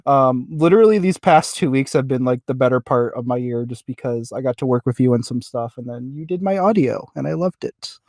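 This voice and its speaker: Male podcast co-host, mid-pitched, halting casual delivery, dry recording. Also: expressive, a little mellow, dry recording. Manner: hesitant, halting.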